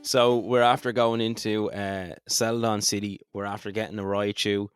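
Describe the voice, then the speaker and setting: A man's voice: fairly low pitch, flat delivery, normal speed, smooth, dry recording, studio quality, soft background music. An Irish man co-hosting a podcast, chatting casually as he narrates a game play-through. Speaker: podcast co-host.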